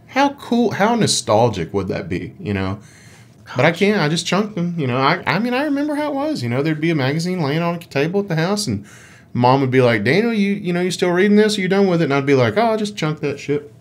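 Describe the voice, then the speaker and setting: Very animated man chatting casually. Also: on the high side, brisk. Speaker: gaming podcast co-host. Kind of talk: casual chat.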